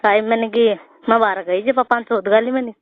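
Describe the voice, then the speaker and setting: A woman's voice: steady tone, fairly quick. A woman arguing insistently in an informal phone conversation, often repeating herself. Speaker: daughter-in-law on a family phone call. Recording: muffled low-fidelity phone call recording.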